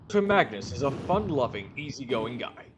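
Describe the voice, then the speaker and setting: A man's voice: medium pitch, very animated, normal speed, dull audio. A man giving a casual, chatty and emphatic comedic reading of a fan comic. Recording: noisy amateur recording.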